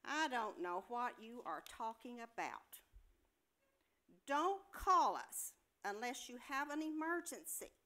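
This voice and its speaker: A woman plays a brusque, bossy nurse in a staged amateur comedy. Her high voice is bright and forceful, and she scolds slowly and deliberately with curt dismissal. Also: big pitch swings, heavily acted. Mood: bossy, dismissive. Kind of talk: amateur staged play reading.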